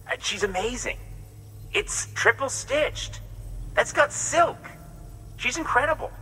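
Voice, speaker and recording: A man's voice: medium pitch, sharp tone, long reverb tail, a little hiss or hum, poor audio. An actor playing a goofy, eccentric man in a video game cutscene, hammy and desperate for laughs, chuckling at his own puns. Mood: proud, playful.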